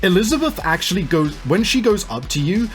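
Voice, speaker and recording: A man working as a game master, improvising tabletop game narration in a halting, piece-by-piece way. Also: sits high, big pitch swings. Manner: halting, pieces scenes together as they go. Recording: music playing behind the voice. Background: music.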